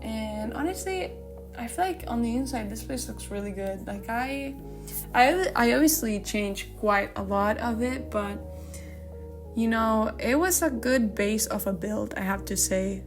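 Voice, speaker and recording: Woman, native Spanish speaker, slightly high voice, casual gaming commentary, informal and self-interrupting, music playing behind. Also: animated, unhurried. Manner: informal, self-interrupting, searching for English words. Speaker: gaming video creator. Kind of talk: casual, chatty build commentary. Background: background music.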